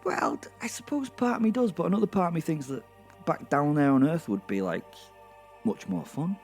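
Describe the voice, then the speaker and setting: A man's voice: fairly high pitch, very animated, fairly quick, vocal fry, a little mellow. A man playing a restless, mischievous werewolf, narrating wryly. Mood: restless, reluctant. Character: cocky, restless, mischievous male werewolf killer. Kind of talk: first-person narration in horror comedy audio drama. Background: music.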